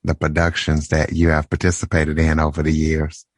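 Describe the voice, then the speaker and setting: A man hosting an interview podcast, asking steady questions with small restarts. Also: rumbling low, moderate pace, no room sound. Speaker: podcast host.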